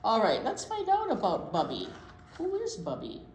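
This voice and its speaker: A woman reading a picture book aloud to young children in an expressive storytime style, pausing to wonder aloud. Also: a little high. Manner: animated, pauses to wonder aloud and question young listeners. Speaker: children's storytime reader at a library. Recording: roomy echo with clear background noise.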